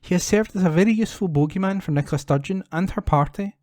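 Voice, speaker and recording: A man's voice: high-pitched, fast, darkish tone, no echo, studio quality. A man reading newspaper letters aloud as a volunteer for a talking newspaper, recorded at home. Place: at home, indoors.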